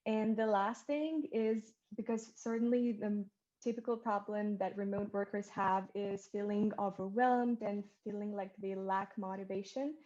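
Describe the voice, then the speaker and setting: A woman answers on a panel with a mellow, dark voice. She speaks fluently and in a clear order. The sound is dull and lo-fi. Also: mid-range voice. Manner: fluent, structured. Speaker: student intern.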